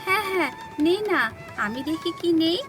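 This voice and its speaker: Keen lady shopper doll, voiced by a woman, high, chatty, admiring, village toy story over music. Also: normal speed. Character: keen adult women dolls shopping at a fair. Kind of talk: children's doll-play story.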